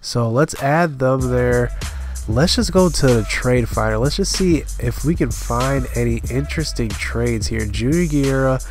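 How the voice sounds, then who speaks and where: A man with a stuffy voice from a cold, giving relaxed gameplay commentary and thinking aloud with frequent fillers. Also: mid-range voice, a little slow, soft background music. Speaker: gaming channel host.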